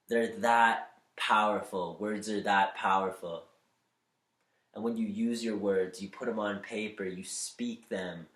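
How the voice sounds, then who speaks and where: Upbeat slightly nasal man, echoey room. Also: lowish voice. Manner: upbeat, spiritual, shifts between fast and slow. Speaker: vlogger giving voice advice. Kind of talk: motivational talk to camera.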